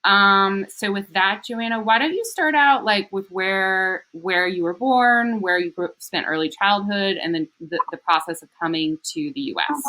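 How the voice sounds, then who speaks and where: A woman's voice: mid-range voice, normal speed, hardly any pauses, pushed and bright, rough recording. A woman hosting a casual interview with a friend, upbeat and loose, filling her speech with "like" and "um". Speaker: interviewer and channel host. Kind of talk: casual interview intro and questions.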